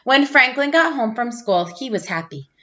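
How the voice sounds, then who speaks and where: A woman reading a children's storybook aloud in an expressive narrating style. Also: fairly high pitch, normal speed, muffled recording, a little hiss or hum. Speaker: storybook reader, teacher.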